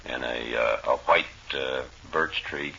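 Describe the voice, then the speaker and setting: A very deep, creaky man co-hosts casual radio banter. He sounds earnest and deadpan, feeling his way through sentences, on a dull old recording with faint noise. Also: takes it slowly. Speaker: radio comedy co-host.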